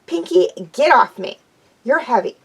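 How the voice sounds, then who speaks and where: A woman's voice: high voice, animated, measured pace, breathy edge, voice pushed up, exaggerated voice. A woman voicing a brash tomboy pony mare. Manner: bossy, boastful.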